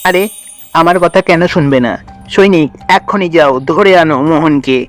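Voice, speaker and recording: A threatening villain sorcerer from a fairy-tale cartoon, acted by a man. The voice sits fairly high and barks commands with menace. Music plays behind it. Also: unhurried, lightly acted. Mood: commanding.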